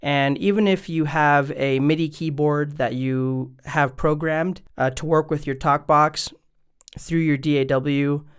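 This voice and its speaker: A man with a fairly high, clean and smooth voice talks through a casual how-to video. He explains things step by step in an easygoing, conversational way, speaking straight to his viewers. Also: fairly even intonation, a little slow. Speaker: music gear video maker and presenter.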